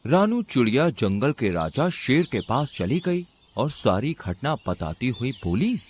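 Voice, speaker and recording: A man's voice: medium pitch, very animated, measured pace, slight background noise. A man narrating a scripted animal fable as a storyteller for a cartoon.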